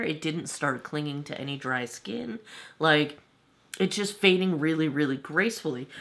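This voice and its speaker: A woman's voice: low voice, a bit bright. A woman in her forties giving a chatty, self-amused makeup review at her home vanity, her voice slightly off from a cold. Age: 40s. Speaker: beauty vlogger.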